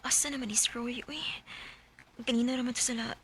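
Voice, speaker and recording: A woman's voice: fairly high pitch, steady tone, brisk, a bit bright, slight background noise, lightly acted. An actress playing a reserved young working woman in a radio drama, with a Cebuano accent. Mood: uneasy, suspicious. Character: Nalin, young working woman, reserved girlfriend telling her story.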